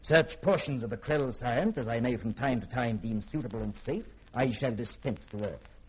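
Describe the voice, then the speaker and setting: Actor as husky, imperious scientist. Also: male voice, mid-range voice, close to an everyday voice, low-quality recording. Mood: coolly defiant. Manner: haughty, formal, deliberate phrasing. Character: imperious, secretive middle-aged scientist living on alien world. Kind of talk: 1950s radio drama acting.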